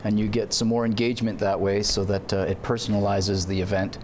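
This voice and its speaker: Low-voiced man, priest in an interview. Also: level tone, brisk, darkish tone, slight background noise. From Canada. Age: 30s. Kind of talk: informal TV studio interview. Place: indoor TV studio. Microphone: lapel mic.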